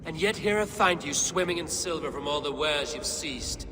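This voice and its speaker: An actor playing a blunt, defiant Viking warrior who speaks in a challenging way, in a video game. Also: male voice, fairly high pitch, normal speed, background noise, natural voice. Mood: suspicious, confronting. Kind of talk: dramatic video game acting. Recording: long reverb, music behind.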